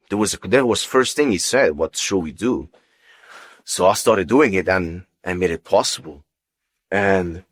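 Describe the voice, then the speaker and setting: Deep-voiced man giving personal testimony. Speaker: guest sharing testimony. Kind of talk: personal religious testimony, casual storytelling.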